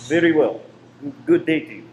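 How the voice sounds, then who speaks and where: A man's voice: a little high, lively intonation, normal speed, close to an everyday voice. A man acting an uneasy, guarded saboteur in a radio play, with hesitant politeness. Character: uneasy, guarded cinema owner and secret saboteur. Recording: echoey room with faint noise.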